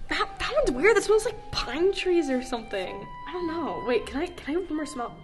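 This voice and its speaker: Giggly high-voiced young woman vlogger. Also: lively intonation, music in the background. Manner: giggly, dramatic, quick reactions. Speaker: vlogger blindfolded in a challenge. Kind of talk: excited casual chat. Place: bright living room indoors.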